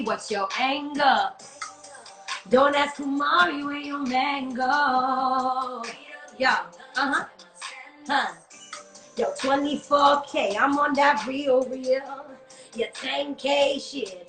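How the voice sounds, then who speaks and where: A woman's voice: sits high, a bit bright, echoey room. A woman recording artist singing and rapping in a home studio, switching into Hindi lines and vocal percussion, then chatting casually.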